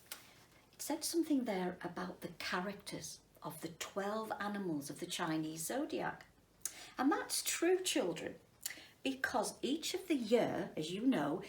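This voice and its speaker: Woman, children's storytime reader, slow delivery, roomy echo, distant microphone. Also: mid-range voice. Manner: unhurried, addressing children directly. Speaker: headteacher reading to children. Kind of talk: children's storytime reading and explaining.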